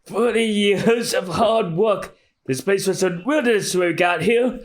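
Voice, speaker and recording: Man as a proud, high-voiced old mayor. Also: a little slow. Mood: proud, determined. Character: proud, determined elderly male town mayor.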